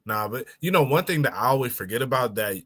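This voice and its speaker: A young man with a lisp, co-hosting a podcast and telling stories in an animated, slangy way. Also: medium pitch, fast talker. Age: young adult. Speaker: podcast co-host. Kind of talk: casual chat.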